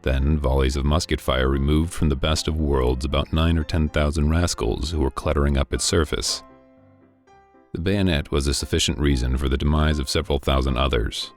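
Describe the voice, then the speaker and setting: A man reading a classic novel aloud in scripted narration, with a measured, dry delivery. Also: extremely low pitch, steady tone, faint music behind. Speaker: audiobook reader.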